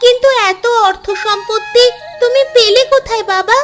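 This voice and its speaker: A woman's voice: very high voice, moderate pace. A woman voicing a curious, plaintive little girl, the daughter in a cartoon story. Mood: surprised, curious. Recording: music behind the voice.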